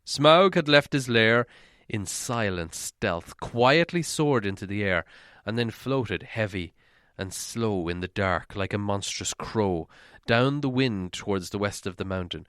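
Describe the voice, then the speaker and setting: A man's voice: on the low side, clear, resonant tone, dry recording. Dramatic male storybook narrator, dry sound. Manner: expressive, theatrical. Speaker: audiobook reader.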